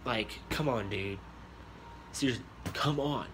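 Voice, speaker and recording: A man's voice: mid-range voice, measured pace, background noise. Frustrated young man filming on his phone. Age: young adult. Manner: frustrated, swearing, calls people "dude". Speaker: amateur actor. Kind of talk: improvised home drama. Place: small bedroom indoors. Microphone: phone camera held at arm's length.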